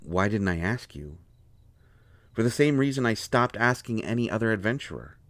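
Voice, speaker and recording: A man playing a proud, hurt, lovestruck healer in an intimate audio roleplay, speaking earnestly and haltingly with deep feeling. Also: expressive, no echo. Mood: weary, explaining. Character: proud, wounded, lovelorn male healer with a limp.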